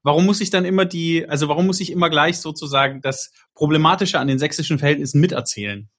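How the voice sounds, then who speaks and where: A man's voice: on the high side, quick pace, fairly bright tone. A man hosting a podcast discussion, thinking aloud with frequent restarts and tag questions. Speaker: podcast host.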